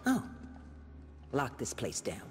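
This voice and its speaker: A woman playing a sultry, sadistic policewoman villain with a breathy, mocking voice in a video game cutscene. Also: extremely low pitch, huge pitch swings, slow, exaggerated voice. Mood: sultry mockery.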